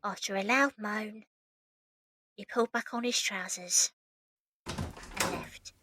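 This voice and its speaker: A woman's voice: medium pitch, takes it slowly, bright, forceful tone, a little hiss or hum. A woman playing a young working-class match girl and maid, cheeky yet unsure of herself, in an audio drama. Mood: reflective narration. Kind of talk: audio drama acting and narration.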